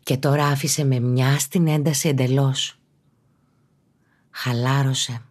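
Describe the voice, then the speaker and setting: A woman calmly narrates a guided relaxation practice as a teacher, in a measured, instructional way. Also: extremely low pitch. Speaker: yoga and meditation teacher, podcast host.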